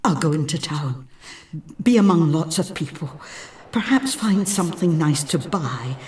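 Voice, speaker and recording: A woman voicing a warm, emotional middle-aged mother. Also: sits low, expressive, measured pace, recorded from a distance. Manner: quietly emotional.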